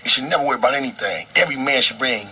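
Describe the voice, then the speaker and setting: A man's voice: medium pitch, rapid-fire, sharp tone, husky. A man hamming playfully for a camera, joking and stammering for effect.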